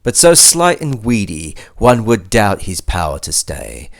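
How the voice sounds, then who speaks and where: Deep-voiced Australian man, dramatic poetry reader. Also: huge pitch swings, a little slow, gravelly. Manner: theatrical, with emphatic swells and hushed line endings. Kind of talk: dramatic recitation of a bush ballad.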